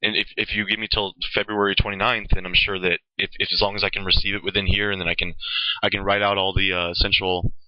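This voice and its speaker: A man's voice: sits low, little pitch movement, fast talker, dry recording. A male caller making a casual phone inquiry, hesitant and often repeating or rephrasing his questions, on lo-fi call audio.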